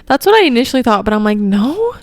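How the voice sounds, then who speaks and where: A woman's voice: fairly high pitch, big pitch swings, fast talker, darkish tone. A young woman co-hosting a podcast, talking informally with a friend into a handheld microphone held close. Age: young adult. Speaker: podcast co-host. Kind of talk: casual chat. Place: living room, indoors.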